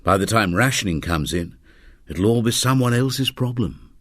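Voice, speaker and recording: Here is an old man in his seventies from a storybook, performed by a male narrator. He moralizes with calm, sardonic fatalism and takes his time. His pitch moves freely, and the recording is very dry. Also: dry recording. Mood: resigned. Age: 70s. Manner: peaceable, sardonic, unhurried.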